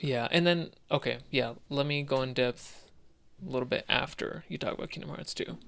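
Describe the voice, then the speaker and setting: A man's voice: medium pitch, moderate pace, creaky, a bit bright. A man co-hosting a casual discussion of video games, giving his opinions in a hesitant, conversational way. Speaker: podcast co-host.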